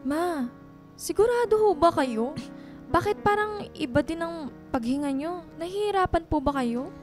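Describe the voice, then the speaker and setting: Anxious young daughter, high-pitched, earnest, respectful, insistent, radio drama, background music. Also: female voice, lively intonation, normal speed. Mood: concerned, insistent. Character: anxious, dutiful young nurse daughter, polite but insistent. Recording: music bed under dialogue.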